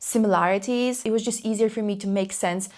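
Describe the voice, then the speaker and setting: A woman's voice: mid-range voice, fairly quick, darkish tone. A young woman whose first language is Polish, talking to camera in a chatty, enthusiastic way and often repeating herself for emphasis. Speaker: language learning YouTuber. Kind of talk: casual talk to camera.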